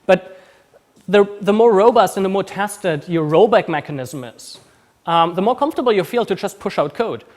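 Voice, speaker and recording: Conference speaker: man from Austria, Austrian-Kiwi accent, slightly high voice, relaxed, full of ums. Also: normal speed. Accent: mixed Austrian German and New Zealand. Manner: casual, many ums and restarts. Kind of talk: conference talk. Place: conference room.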